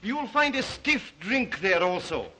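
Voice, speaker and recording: A suave Cossack count in a vintage drama. His Russian-accented voice is highish and bright, swooping theatrically through courtly, ingratiating phrases with a sinister polish. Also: very animated, unhurried, slight background noise, exaggerated voice. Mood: courtly, hospitable.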